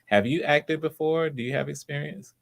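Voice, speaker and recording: A man's voice: a little high, moderate pace, gravelly. A man casually hosting live online auditions, chatting in an encouraging, affirming way. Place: remote live video stream.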